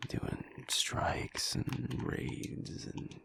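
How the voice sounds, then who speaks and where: A man playing a sad, wistful young alpha werewolf in an intimate audio roleplay, talking haltingly in a low, rumbly half-whisper. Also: a bit bright. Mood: nostalgic, wistful. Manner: halting, hesitant, trailing off.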